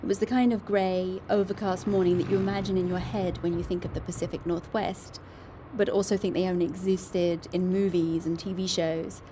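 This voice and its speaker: Female actor as investigative podcast reporter: lower register, conversational, candid, dry first-person storytelling. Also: flat delivery, moderate pace, dark tone, a little hiss or hum, natural voice. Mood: scene-setting. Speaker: reporter and narrator.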